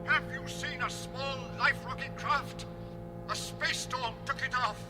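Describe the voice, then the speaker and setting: An actor playing a grieving rocket captain, anguished and declamatory, pleading over a radio call in a radio drama. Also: male voice, extremely high pitch, a little slow, music in the background. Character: grieving, pleading rocket captain searching for his lost son.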